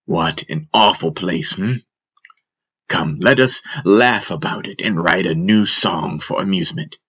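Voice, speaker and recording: A man playing an elderly, loving father and chieftain in a fantasy audiobook, gentle and earnest. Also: deep voice, a little slow, slightly rough, exaggerated voice. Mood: cheering, amused. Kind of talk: audiobook character acting.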